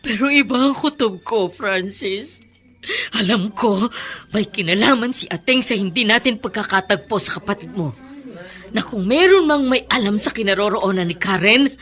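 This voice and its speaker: A woman's voice: fairly high pitch, expressive, normal speed, pushed and bright. An actress playing a distraught, protective mother in a Filipino radio drama, tearful and emphatic. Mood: suspicious, angry. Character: anguished, fiercely protective mother searching for her daughter.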